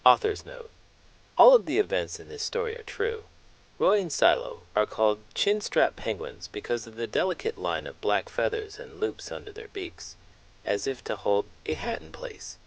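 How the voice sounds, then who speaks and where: A man hosting a children's read-aloud, speaking in an expressive, playful storytelling style. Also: lowish voice, normal speed, low-quality recording. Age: adult parent.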